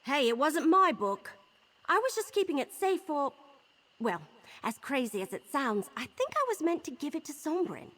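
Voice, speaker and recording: An actress playing a chatty, perky young adventurer woman in a video game, with a quirky, expressive delivery. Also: sits high, moderate pace. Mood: reflective. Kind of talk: video game dialogue acting.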